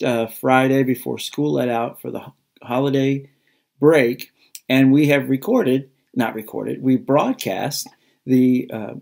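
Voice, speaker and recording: Creaky-voiced man introducing a show. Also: medium pitch, a little mellow. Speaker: school staff member introducing a show. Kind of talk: informal spoken introduction to camera.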